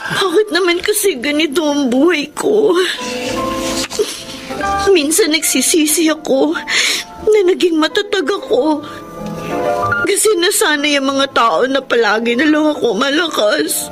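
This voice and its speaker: An actress playing an exhausted, self-sacrificing elder sister in a radio drama, weary, plaintive and sobbing. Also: female voice, high voice, unhurried. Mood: weary despair. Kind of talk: Tagalog radio drama acting. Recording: music playing behind the voice.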